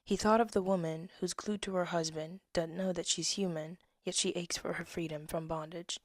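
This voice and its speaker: Soft low-voiced young woman reading poems. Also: steady tone, moderate pace, dry recording. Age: 20s. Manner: soft-spoken, drifts into whispers, laughs at herself. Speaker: poet reading her own work. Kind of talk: casual reading of old poems with asides.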